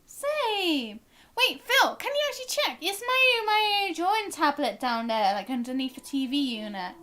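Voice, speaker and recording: A woman chatting casually and excitably while livestreaming a game, in a bubbly, giggly manner. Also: high voice, unhurried, slight background noise. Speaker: live streamer. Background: game music.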